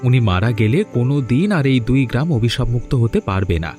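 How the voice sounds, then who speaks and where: A man's voice: medium pitch, lively intonation, fast, darkish tone, soft background music, natural voice. An actor playing a weary, troubled village man in a fairy-tale cartoon, speaking in an earnest, lamenting way. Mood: grave.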